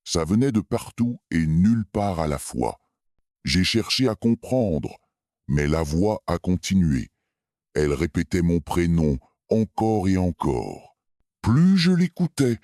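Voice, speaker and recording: A man with a very deep voice narrates a scripted scary story in the first person. He speaks slowly and with measured suspense, in a dry recording with no room sound. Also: darkish tone, no room sound.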